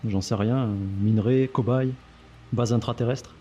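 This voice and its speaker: A man's voice: medium pitch, fairly even intonation, normal speed, mellow, faint music behind, natural voice. A man playing a weary, cynical intelligence agent in a French audio drama, with a dry, sardonic, curt manner. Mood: suspicious, weary. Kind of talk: French audio fiction dialogue.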